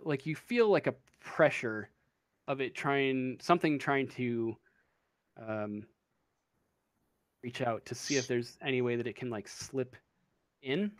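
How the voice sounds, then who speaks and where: A man's voice: mid-range voice, no echo, studio quality. A man narrating a tabletop role-playing game as game master, improvising and searching for his words.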